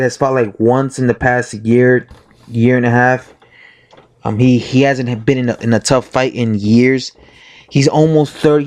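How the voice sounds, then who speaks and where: A man with a hoarse voice from being sick, giving a casual, rambling opinion monologue as a boxing vlogger, full of fillers and self-corrections. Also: medium pitch, darkish tone.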